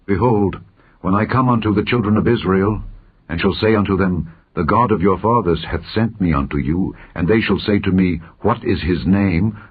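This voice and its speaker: A man's voice: sits low, moderate pace, breathy edge. A man reading the part of a humble, awed prophet in an earnest, formal, questioning way for a scripture audiobook. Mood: humble doubt. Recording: muffled phone-like narrow-band audio.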